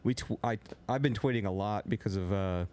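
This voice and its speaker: Loud, rambling young male podcast co-host. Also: on the low side, a little fast. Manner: loose and rambling, heavy on filler words; talks a little loud. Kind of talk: casual chat.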